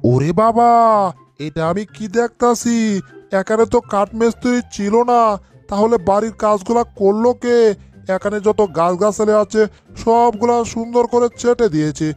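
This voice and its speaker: A man's voice: sits high, normal speed, a little mellow, soft background music, close to an everyday voice. A man voicing a grumbling, easily spooked bulldog in a cartoon dub, exclaiming in bewilderment. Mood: puzzled.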